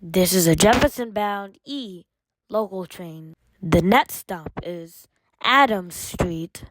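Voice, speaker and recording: A woman reading scripted train announcements as an automated announcer, in measured, formulaic phrases with separate segments. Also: on the low side, lively intonation, no echo, poor audio.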